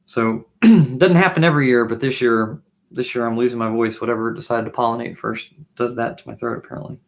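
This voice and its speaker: Hoarse man chatting on a live stream. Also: medium pitch, steady tone, brisk. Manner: musing, trailing off with restarts while playing. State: losing his voice, throat clearing. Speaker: livestream host and bassist. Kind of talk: casual live stream chat. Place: small home music room, indoors. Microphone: webcam mic at desk distance, wears headphones.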